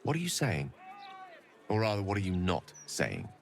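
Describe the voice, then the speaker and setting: An actor playing an eloquent, proud wizard in a video game, articulate, wry and earnest. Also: male voice, deep voice, huge pitch swings, moderate pace, gravelly, exaggerated voice. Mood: wry, indignant. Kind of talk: naturalistic video game acting.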